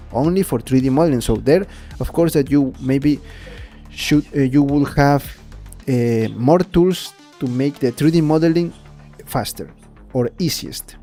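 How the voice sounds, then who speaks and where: A man's voice: mid-range voice, mellow. Ecuadorian man with a Spanish accent. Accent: Spanish-accented English. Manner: rambling, repeats words while explaining. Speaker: 3D artist streaming his work. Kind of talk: casual livestream tutorial.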